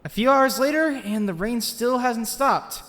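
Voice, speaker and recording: A man's voice: very high-pitched, measured pace, fairly bright tone, long reverb tail. A man playing an earnest, nerdy, anxious teenage boy in a dramatized comic dub. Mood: urgent, anxious. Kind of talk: dramatized comic dub, dialogue and first-person narration.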